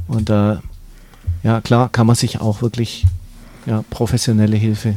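A man with a gravelly, creaky voice talks loosely in a studio discussion. He often ends his points with a questioning tag to draw the others in. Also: lowish voice, level tone, unhurried, warm tone, dry recording. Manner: casual, tags sentences with "ne". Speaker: discussion participant.

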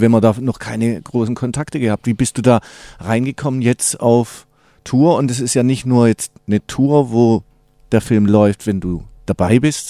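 A man's voice: medium pitch, dark tone, dry recording, clean studio sound. A male interviewer asking questions casually, often restarting and rephrasing them midway.

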